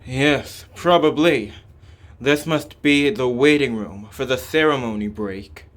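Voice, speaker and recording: A man's voice: medium pitch, slow, slight background noise. A man reading translated game dialogue and narration aloud as a scripted playthrough. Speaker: reader voicing a game playthrough.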